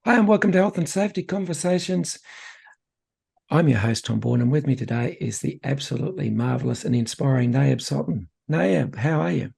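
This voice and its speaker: A man's voice: medium pitch, animated, brisk, creaky, darkish tone, a little hiss or hum. A man hosting a conversational interview, speaking in an effusive, encouraging manner. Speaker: podcast host, interviewer. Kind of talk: casual interview chat.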